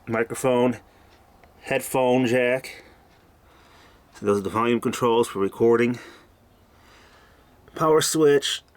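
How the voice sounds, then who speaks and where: A man showing off an old tape machine in a casual walkthrough, speaking in an unhurried way and thinking aloud as he goes. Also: medium pitch. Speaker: hobbyist showing a tape recorder.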